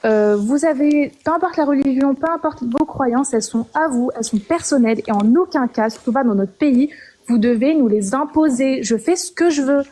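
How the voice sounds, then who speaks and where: A young woman from Algeria calling in to share her story, talking hesitantly with many pauses and restarts. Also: high-pitched, warm tone. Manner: hesitant, frequent filler sounds and restarts. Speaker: caller. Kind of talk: personal testimony on a call-in show.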